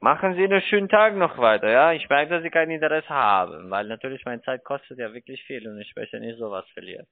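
A man's voice: mid-range voice, lively intonation, gravelly, no room sound. Non-native man on a tinny phone line. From Edinburgh, Scotland. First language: not native German speaker. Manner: polite, halting non-native phrasing. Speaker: cold-calling phone salesman. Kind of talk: unscripted phone sales call.

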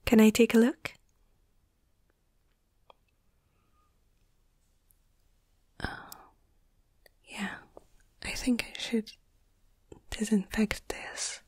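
Woman acting as a breathy, hushed, caring young vet. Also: a little high, little pitch movement, no echo, in a whisper. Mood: gentle, focused. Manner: gentle, slow, caring, hesitant. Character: caring, curious young woman veterinarian tending a patient. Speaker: ASMR roleplay performer. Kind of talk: soft ASMR roleplay, partly whispered.

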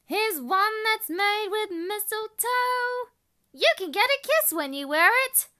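A woman's voice: very high voice, expressive, takes it slowly, pushed and bright. A woman performing fan-made cartoon character lines, half sung and half spoken, in a cutesy way. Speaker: amateur voice actor.

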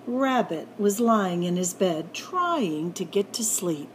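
Lady reading a kids picture book, slow n very singsongy up and down, playful, bit noisy room. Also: mid-range voice. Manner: animated, playful, drawn-out sound words. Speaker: library story time reader. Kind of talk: expressive picture-book read-aloud for children.

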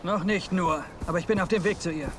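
A man's voice: high voice, fast talker, noisy background, natural voice. An actor playing a terse, determined young male fighter in an understated video game dub. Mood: reassuring. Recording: loud music and game sounds behind.

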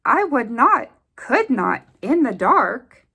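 A woman reading a rhyming picture book aloud for young children in an expressive, singsong, deliberate rhythm. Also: high voice, breathy edge. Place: indoors at a table.

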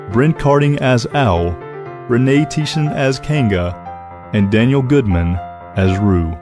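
A man reading scripted closing credits as the announcer of an audio drama. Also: mid-range voice. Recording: music playing behind voice.

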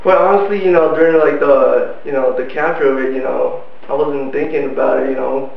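A man's voice: a little high, brisk, creaky. Young man, native Vietnamese speaker, rambling. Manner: rambling, full of "you know" fillers. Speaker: interviewer and interviewee. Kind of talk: joking home-video interview. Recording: echoey lo-fi home video.

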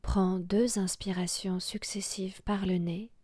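A woman guiding a relaxation meditation in a soft, breathy, half-whispered voice, hushed and soothing, with long pauses. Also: mid-range voice, little pitch movement, no room sound. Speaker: yoga nidra guide.

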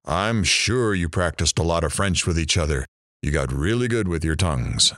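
A man's voice: low voice, animated, normal speed, a bit bright, no echo, clean studio sound. A man playing a sarcastic, irreverent talk radio host in a comedic audio drama, with showy DJ patter. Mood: teasing.

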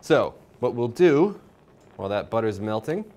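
A man's voice: medium pitch, sing-song, a little slow, darkish tone, slight background noise. A young man presenting a cooking show, explaining each step in an informal, instructional way. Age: young adult. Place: indoor home-style kitchen.

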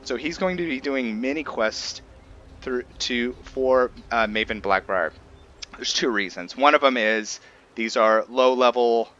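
A man giving casual, halting commentary as he explains his roleplay video game playthrough. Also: fairly low pitch, pushed and bright, muffled recording, slight background noise. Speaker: gamer narrating a roleplay playthrough. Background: faint game music.

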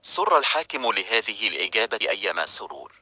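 Man reading a storybook, bright and dry. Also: on the low side, big pitch swings, brisk, no room sound. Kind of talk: scripted storybook narration.

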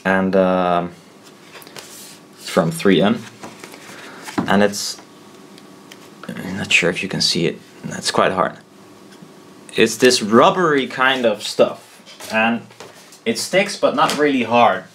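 A man with a fairly low voice gives an informal hands-on explanation, thinking aloud as he goes. He is halting and unsure of himself, trailing off and correcting his wording, with a blunt, casual turn of phrase. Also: fairly bright tone, slight background noise. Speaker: maker explaining a DIY build. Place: indoors at a desk.

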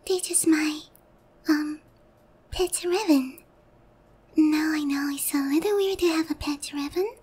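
A woman playing a lonely, sweet and softly possessive young witch girl in an ASMR roleplay, breathy and gentle. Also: high voice. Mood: sweet, cheerful. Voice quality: soft, breathy, whispery. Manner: slow, gentle, coaxing.

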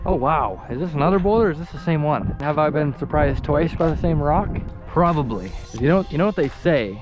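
A man's voice: a little high, lively intonation, brisk, over music. A young man, filming himself from a small boat on a lake and chatting casually about his catches in a goofy, self-mocking way. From Canada. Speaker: fishing vlogger. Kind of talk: casual self-filmed commentary. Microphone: action camera.

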